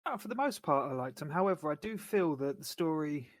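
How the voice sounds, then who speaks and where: A man co-hosting a podcast, talking through his views on a film in a measured, thoughtful way. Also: on the high side, expressive, dry recording. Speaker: podcast co-host. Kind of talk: casual film discussion.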